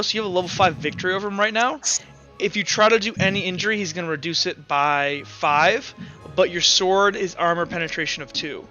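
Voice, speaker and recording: A man with a bright, forward voice runs a tabletop roleplaying session as game master. He narrates the action and rules in a casual way. The sound is dull and lo-fi, with music faintly behind him. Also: high voice.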